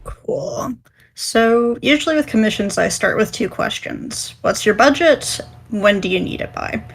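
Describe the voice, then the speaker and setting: Matter-of-fact woman with creaky voice. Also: a little high, animated, measured pace. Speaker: podcast panelist, commission writer. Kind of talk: casual explanation in a discussion.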